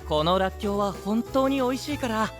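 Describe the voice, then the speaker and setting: High, gentle, innocent young knight. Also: male voice, expressive, fairly quick, over music. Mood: innocent enthusiasm. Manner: soft-spoken, guileless, kindly. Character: gentle, innocent, warm-hearted princely young male knight. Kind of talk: Japanese anime-style voice drama.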